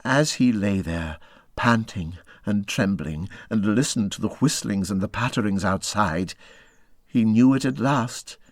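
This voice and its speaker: A man reading a classic children's animal story as scripted narration, in a dramatic, expressive style that moves between hushed and urgent. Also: lowish voice, measured pace, no echo, studio quality. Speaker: audiobook reader.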